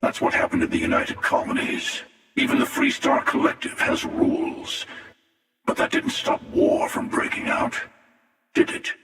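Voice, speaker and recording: A man playing a world-weary, menacing older immortal hunter in a video game, speaking slowly and sardonically in a gravelly, breathy rasp with a reverberant effect. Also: very high voice, huge pitch swings, a bit bright, exaggerated voice. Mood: measured menace. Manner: slow, deliberate, sardonic, taunting. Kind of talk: video game character dialogue. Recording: echoey voice with long reverb, distant.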